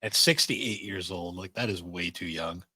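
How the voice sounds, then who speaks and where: A man's voice: deep voice, moderate pace, pushed and bright, no room sound. A man co-hosting a podcast in casual chat, with short, plainspoken interjections. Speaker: podcast co-host. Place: podcast studio.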